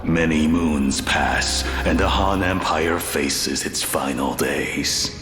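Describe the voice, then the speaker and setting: An actor playing a grave, portentous storyteller, narrating ancient legend in a solemn, measured way for a fantasy game. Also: male voice, rumbling low. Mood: solemn, foreboding. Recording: long cavernous reverb. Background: loud music.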